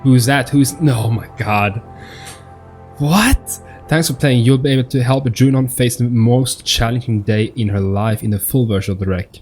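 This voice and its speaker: A man hosting a gaming video with informal, enthusiastic, somewhat halting commentary in a husky voice. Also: mid-range voice, a little mellow. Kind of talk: casual let's-play commentary. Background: game music.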